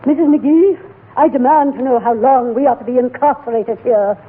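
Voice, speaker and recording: A woman's voice: sits high, normal speed, husky. A woman playing a pompous, easily offended society matron with a formal, indignant manner in a 1940s radio comedy. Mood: imperious. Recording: tinny, slightly noisy 1940s radio recording.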